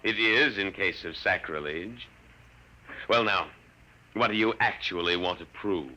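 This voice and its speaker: An actor playing a condescending imperial soldier in a 1950s radio drama, blunt and dismissive. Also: male voice, low-pitched, measured pace, sharp tone, heavily acted, rough recording. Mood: amused disdain. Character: gruff, scornful imperial soldier lord, condescending. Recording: vintage radio with faint noise.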